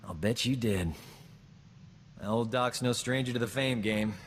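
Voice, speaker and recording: An actor playing a boastful, smooth-talking combat medic in a video game, joking and flattering himself. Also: male voice, medium pitch, unhurried, in a large hall, slight background noise, close to an everyday voice. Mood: cocky. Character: cocky boastful man, a frontier combat medic. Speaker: companion character in a video game. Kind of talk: video game character dialogue.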